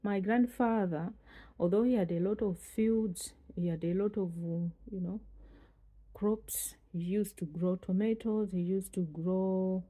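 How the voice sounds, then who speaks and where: A Malawian woman in her forties from the north of the country, telling her own life story in an unhurried way with many pauses and fillers. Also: mid-range voice, steady tone, mellow. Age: 40s. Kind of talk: reflective personal storytelling.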